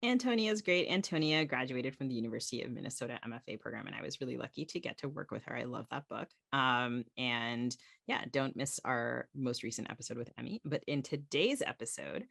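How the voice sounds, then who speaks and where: A woman's voice: rumbling low, animated, a little fast, vocal fry, hardly any pauses, fairly bright tone, dry recording, studio quality. An American woman co-hosting a podcast in casual, opinionated banter with a wry, informal manner. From United States. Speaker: podcast co-host.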